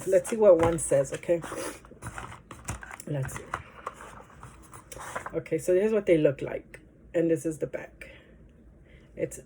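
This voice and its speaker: A woman talks to her camera in a loose, meandering way, full of pauses and fillers. Her nose is stuffed up from allergies, and her low voice has a mellow, soft tone. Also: a little hiss or hum. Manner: rambling, hesitant, many fillers. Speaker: vlogger. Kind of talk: casual chat to camera.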